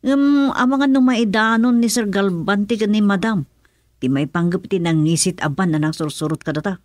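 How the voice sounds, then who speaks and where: A woman's voice: medium pitch, moderate pace, no echo, close to an everyday voice. An actress playing a governor's concerned female aide in a radio drama, with an Ilocano Filipino accent.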